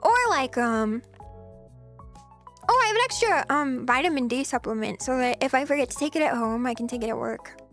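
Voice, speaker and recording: Young female streamer, high soft voice, chatty and giggly, slightly scratchy throat, faint music bed. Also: expressive, brisk. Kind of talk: casual chat.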